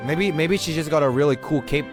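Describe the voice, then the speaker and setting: A man's voice: on the high side, brisk. A man streaming a game live, chatting casually in slang and repeating himself for emphasis. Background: game music.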